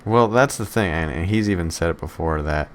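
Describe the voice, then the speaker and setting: Deep-voiced man in crude, opinionated podcast chat. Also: a little fast. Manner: crude, swearing. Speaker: podcast co-host.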